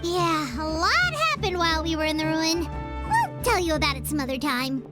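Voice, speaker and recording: A woman's voice: high voice, animated, normal speed, over loud music. A woman voicing a small, chatty, cautious fairy-like companion with a childlike manner in a video game. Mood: friendly. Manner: refers to self in third person.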